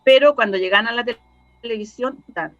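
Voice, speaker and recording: A woman's voice: fairly high pitch, fast, a bit bright, muffled recording. A woman with a Chilean accent explaining things casually as an interview guest, often searching for words. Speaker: interview guest, radio drama scriptwriter.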